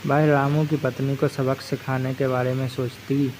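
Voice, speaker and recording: Male story narrator, mid-pitched, unhurried, very even intonation, scripted delivery. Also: a little hiss or hum.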